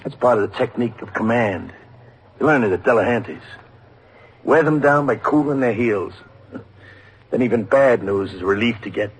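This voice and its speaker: A man's voice: mid-range voice, moderate pace, long pauses, husky, lightly acted. An actor playing a bitter, grumbling policeman and family man with an American accent in a vintage radio drama. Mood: wry. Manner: grumbling, sardonic. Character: bitter, weary policeman, a married man with kids. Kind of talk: 1950s radio drama acting. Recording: noisy vintage radio recording.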